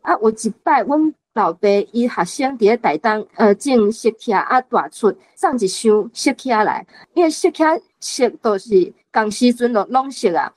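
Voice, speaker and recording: A woman's voice: a little high, lively intonation, moderate pace, hardly any pauses, darkish tone, low-quality recording. A woman in her late fifties with a Taiwanese accent, discussing a story as a guest and sharing personal anecdotes. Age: late 50s. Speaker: guest panelist.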